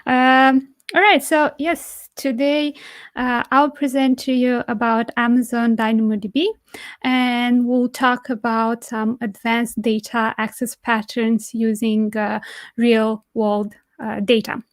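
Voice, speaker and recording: Woman from Romania, online conference presenter, a little higher voice, dry close sound, steady with fillers. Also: takes it slowly, dry recording. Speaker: conference speaker. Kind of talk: technical presentation. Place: home or office, remote online meetup.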